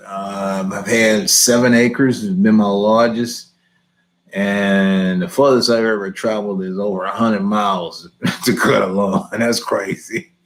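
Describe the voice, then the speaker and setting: A man's voice: medium pitch, fairly bright tone. A middle-aged man from Houston with a Southern Texas accent, casually answering viewers' lawn care questions, laughing often. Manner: easygoing, laughs often, reads questions aloud then answers. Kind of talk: casual live Q&A chat.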